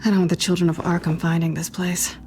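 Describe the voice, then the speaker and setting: An actress as a guarded young woman thief in a story-driven video game. Her voice sits on the low side, intimate and sardonic, with a teasing dry wit. There is clear noise and ambience behind her. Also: little pitch movement, fast talker, darkish tone, natural voice. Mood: guarded, irritated. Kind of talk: naturalistic video game acting.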